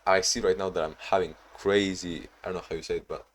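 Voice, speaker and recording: A young man talking casually in a video diary, rambling and restarting, in a monotone way. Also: low-pitched, fast talker. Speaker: vlogger.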